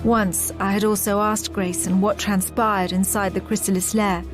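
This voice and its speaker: Actress as composed female war chronicler, mid-pitched, formal and grave cutscene narration, clear music bed. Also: flat delivery, lightly acted. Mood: solemn, measured. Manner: measured, solemn, formal storytelling. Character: composed woman officer recounting a war in retrospect. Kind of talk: scripted game cutscene narration.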